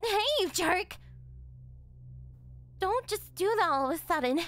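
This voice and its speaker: A woman's voice: extremely high pitch, animated, a little slow, fairly bright tone, voice pushed up, full character voice. A woman voicing a shy, flustered tsundere young girlfriend in an anime-style ASMR roleplay, defensive and stammering, with a whiny edge. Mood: embarrassed tsundere.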